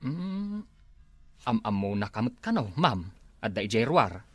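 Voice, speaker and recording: A man's voice: on the low side, big pitch swings, unhurried, no echo. A man with an Ilocano accent playing a fussy, officious school staffer in a comedy drama. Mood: gossipy.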